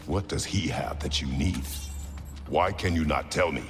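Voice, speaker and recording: A middle-aged man playing a stern, stoic, protective warrior father in a video game, gravelly and terse, with formal, grave phrasing. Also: normal speed, in a large hall, faint music behind. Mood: suspicious, grave. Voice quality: gravelly, deep. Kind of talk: dramatic video game cutscene acting.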